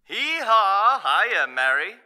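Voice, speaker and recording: A man's voice: high voice, very animated, takes it slowly, sharp tone, slight background noise, full character voice. A man playing a brash, jovial, back-slapping young businessman in an old-time radio drama. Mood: boisterous.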